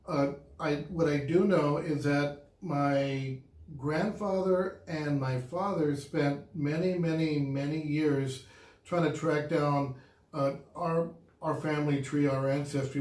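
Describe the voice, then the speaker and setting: A man's voice: on the high side, fairly even intonation, a little slow, a little mellow. A man co-hosting a casual couple's vlog, talking in an upbeat, chatty way and laughing easily. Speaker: vlog co-host. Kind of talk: casual chat. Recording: echoey room.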